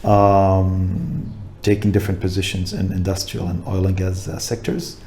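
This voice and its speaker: A man's voice: low-pitched, completely flat delivery, normal speed, darkish tone, a little hiss or hum. A middle-aged man from Jordan, a guest talking casually in an interview, thoughtful and full of fillers. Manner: thoughtful, many fillers, laughs easily. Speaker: guest, coffee importer.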